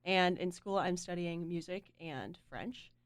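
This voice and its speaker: Young female guitarist, giggly and animated, lowish voice, dry sound. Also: a little slow, smooth, dry recording. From Huntersville, North Carolina. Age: young adult. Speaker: band guitarist, student. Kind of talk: casual interview chat.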